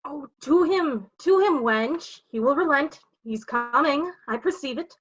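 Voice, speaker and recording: Actress as sly onlooker: high voice, urging, conspiratorial classical verse asides, muffled lo-fi recording. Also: female voice, lively intonation, unhurried, a bit bright. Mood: sly approval. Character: sly male onlooker Lucio, urging and coaching. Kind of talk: Shakespeare staged reading.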